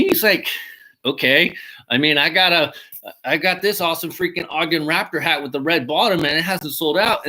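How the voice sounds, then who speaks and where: Excitable man with a bright voice. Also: on the high side, poor audio. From Mexican heritage. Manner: excitable, swears casually, repeats "freaking awesome". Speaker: hat collector vlogger. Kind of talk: casual unboxing chat.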